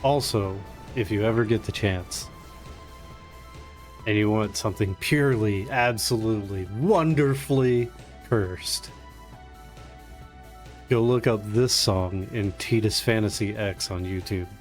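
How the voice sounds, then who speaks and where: A man's voice: on the low side, unhurried, pauses often. A man chatting casually and jokingly, with some self-deprecation, as he plays a game on a live stream, with game music behind him. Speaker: speedrunner playing live.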